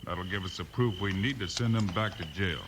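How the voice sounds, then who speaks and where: An actor playing a calm, decisive American lawman hero in a 1950s radio western. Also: low voice, fairly quick, lightly acted. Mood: calm planning. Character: Wild Bill Hickok, steady heroic western lawman. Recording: noisy vintage radio recording.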